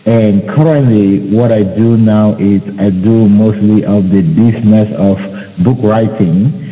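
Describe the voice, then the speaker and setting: Male panelist from Cameroon, low pitch, halting delivery; muffled, reverberant call audio. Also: fairly even intonation. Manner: halting, searching for words. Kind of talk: casual video call discussion.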